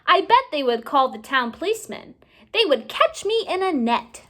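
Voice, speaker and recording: A woman with a high voice reads a children's story aloud. Her pitch rises and falls in a lively way, and she takes her time, stretching words out for young listeners. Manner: expressive, deliberate phrasing with drawn-out words for young listeners. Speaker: teacher reading a storybook aloud. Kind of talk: children's read-aloud narration.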